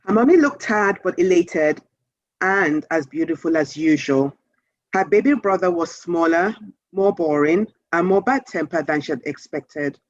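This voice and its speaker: A woman author reading a children's story aloud in a measured, expressive storytelling manner. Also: lowish voice, no echo, highs cut off.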